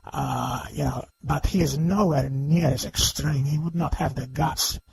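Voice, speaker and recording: A man giving unscripted, argumentative commentary in a halting but emphatic way, repeatedly seeking agreement. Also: fairly high pitch, fairly even intonation, vocal fry, breathy edge. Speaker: online video commentator. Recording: faint background noise.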